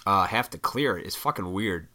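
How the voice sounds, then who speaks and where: Froggy-throated man ranting, low forceful voice. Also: animated, moderate pace. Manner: profane, opinionated, rambling. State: froggy throat, clearing it often. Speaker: vlogger. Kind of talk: rambling casual rant to camera.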